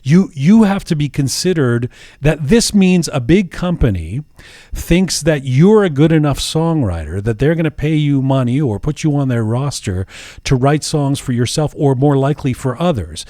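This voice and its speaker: Man, podcast host, halting restarts, dry close sound. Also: on the high side, lively intonation, warm tone, dry recording. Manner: musing, halting with repeated false starts. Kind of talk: interview.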